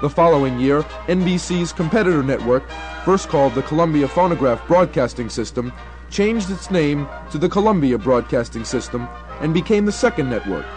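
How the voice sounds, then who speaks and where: A man's voice: fairly high pitch, expressive, moderate pace, music in the background. A young American man reading scripted documentary narration. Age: young adult.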